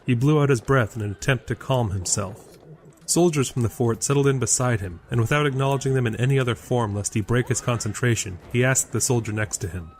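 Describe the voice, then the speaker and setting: A man's voice: mid-range voice, a little fast, slight background noise. A man reading scripted narration for a fantasy audio drama.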